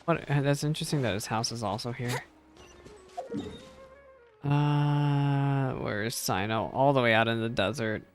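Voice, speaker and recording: A man's voice: mid-range voice, unhurried, pauses often. A man reacting live to a video game in a casual, chatty way, thinking aloud as he plays. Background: video game music.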